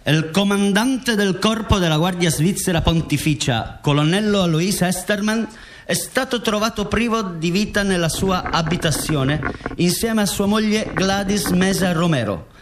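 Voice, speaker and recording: High-voiced formal military commander played by a man. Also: normal speed, a little hiss or hum, natural voice. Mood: official, formal. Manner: formal, official statement delivery. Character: dead guard commander recounting his killing, formal. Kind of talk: scripted radio drama monologue.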